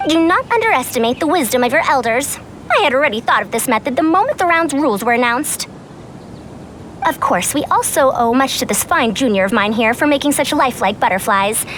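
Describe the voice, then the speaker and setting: Game character: haughty senior female scholar, high and lively voice, archaic formal diction. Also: brisk, slight background noise. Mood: smug, self-satisfied. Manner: haughty, old-fashioned formal speech. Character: proud female senior scholar, an elder with a youthful voice. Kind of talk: animated game voice acting.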